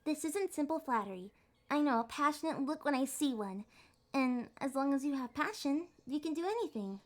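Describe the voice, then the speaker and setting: A woman's voice: sits high, lively intonation, normal speed. A woman voicing an adorkable young kitsune in an ASMR roleplay, with a flustered, hesitant, primly formal way of talking. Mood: curious, encouraging. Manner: flustered, hesitant, primly wordy, awkwardly insisting on maturity. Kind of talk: ASMR roleplay, acted one-sided date conversation.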